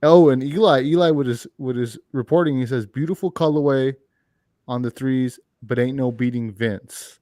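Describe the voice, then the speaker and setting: A man co-hosting a livestream over a video call, reading viewer comments in a flat, dry way. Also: mid-range voice, moderate pace, no room sound. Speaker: livestream co-host. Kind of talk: casual chat, reading comments.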